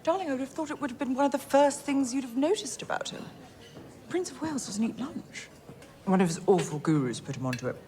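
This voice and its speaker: A woman's voice: sits high, big pitch swings, fast, dark tone. An actress playing a condescending, breezy upper-class English woman, a prince's worldly older lover, in a screen drama.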